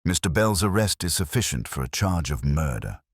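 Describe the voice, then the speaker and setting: A man voicing a commanding, cerebral private detective who makes formal, deliberate pronouncements in an audio story. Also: a bass voice, big pitch swings, husky, dry recording, clean studio sound, exaggerated voice.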